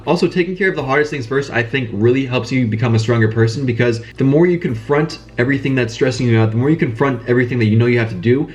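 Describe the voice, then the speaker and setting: Young man, nasal from a cold, quick talker, middle-pitched, earnest advice monologue. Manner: brisk, earnest, repeats phrases for emphasis. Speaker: channel host giving business advice. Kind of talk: casual advice monologue to camera.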